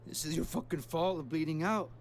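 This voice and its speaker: A man's voice: on the high side, brisk, a little hiss or hum, close to an everyday voice. A man voicing a bitter, badly wounded gang member in a video game, weak and gasping, with a Mexican accent. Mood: bitter, failing. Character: dying, bitter, bleeding gang man cursing his killer.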